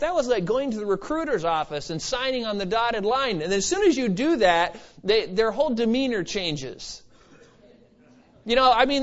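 A slightly muffled, lo-fi recording of a man preaching. His voice sits high for a man. He exhorts emphatically, mixing scripture teaching with conversational asides. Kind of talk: expository sermon. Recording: slightly dull, lo-fi sermon recording.

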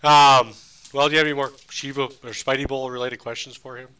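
A man co-hosting a podcast, easygoing and quick to laugh. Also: medium pitch, little pitch movement, pushed and bright, smooth. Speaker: podcast co-host. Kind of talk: casual chat.

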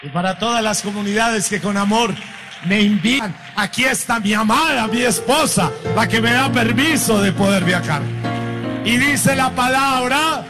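A man preaching a sermon to a congregation, speaking slowly and building to fervent, shouted repetition. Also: high-pitched. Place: church hall with congregation. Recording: long hall reverb with faint music.

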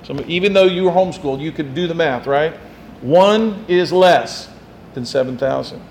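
Conversational pastor preaching, fairly high voice. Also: male voice, normal speed, a little hiss or hum. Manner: conversational preaching, asks the congregation questions, teases listeners. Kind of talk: sermon.

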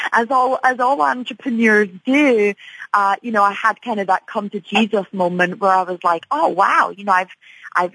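A woman's voice: medium pitch, a bit bright, dry recording, rough recording. A woman from Scotland, answering as a guest in a radio interview in an upbeat, chatty way with many fillers. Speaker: tech company CEO, guest.

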